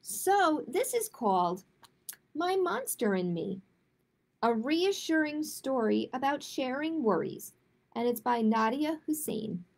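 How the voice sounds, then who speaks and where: A woman hosting a children's storytime, reading picture books aloud and chatting to families in an expressive way. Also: sits high, slow pace, vocal fry. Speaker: children's librarian, storytime host. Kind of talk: picture book read-aloud and chatty announcements to young families.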